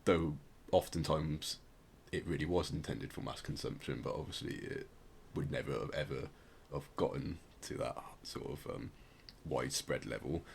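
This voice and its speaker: A man with a low-pitched voice hosts a casual podcast. He explains things conversationally, rambling a little and softening his points as he goes. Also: normal speed, creaky, few pauses, a little hiss or hum. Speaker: podcast host.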